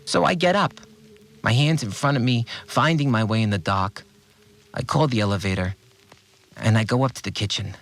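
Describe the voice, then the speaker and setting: An actor playing an anxious, obsessive cleaner who fretfully recounts events in short, plain sentences in an audio drama monologue. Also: male voice, mid-range voice, normal speed, long pauses, fairly bright tone, close to an everyday voice, low-quality recording. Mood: insistent, agitated. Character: anxious, obsessive male cleaner confined in a mysterious place. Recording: music bed under the voice.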